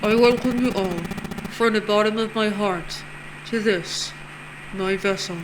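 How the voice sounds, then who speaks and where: A woman's voice: medium pitch, steady tone, lightly acted. Zero, a woman voicing a harsh eerie captain. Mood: ominous. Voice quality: harsh, with a put-on foreign accent. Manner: slow, formal, ominous announcements. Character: cold eerie masked captain speaking over a ship's speaker. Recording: noisy recording.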